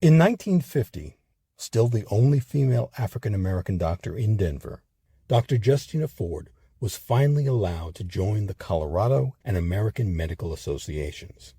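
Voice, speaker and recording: A man narrating a scripted history documentary in a measured, expressive storytelling manner. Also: fairly low pitch, slightly rough, no room sound, clean studio sound.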